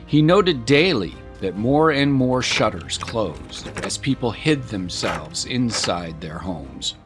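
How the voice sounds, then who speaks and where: A man narrating a scripted dramatic story in a measured, solemn manner. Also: on the low side, sing-song, music in the background.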